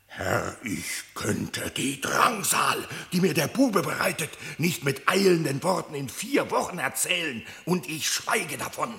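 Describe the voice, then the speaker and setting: Bitter, accusing wolf in radio drama: slow, gravelly, solemn pleading. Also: male voice, mid-range voice, pushed and bright, exaggerated voice. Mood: bitter, vengeful. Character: aggrieved male wolf, bitter accuser at court. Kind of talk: literary radio drama, verse declamation.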